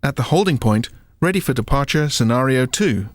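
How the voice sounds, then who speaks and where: A man's voice: mid-range voice, lively intonation, brisk, faint music behind. A man giving short scripted announcements of exercise scenarios.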